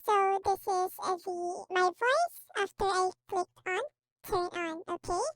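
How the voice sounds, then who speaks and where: Woman run through squeaky, chipmunk-like voice changer. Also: fairly even intonation, measured pace, fairly bright tone, no room sound. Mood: plain, explanatory. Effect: voice changer pitching the voice up. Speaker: tutorial presenter. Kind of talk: spoken software demonstration.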